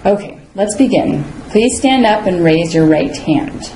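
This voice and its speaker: A woman acting as an interview officer, asking brisk, clear questions and giving short approvals. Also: medium pitch, lively intonation, warm tone, a little hiss or hum. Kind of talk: scripted mock interview questioning. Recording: roomy echo with faint ambience.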